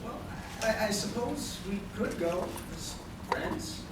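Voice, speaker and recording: A woman plays an awkward, self-doubting high school student who hesitates and stammers in an animated voice. The live radio play is heard from far off in a very echoey, noisy hall. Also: slow. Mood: awkward, nervous.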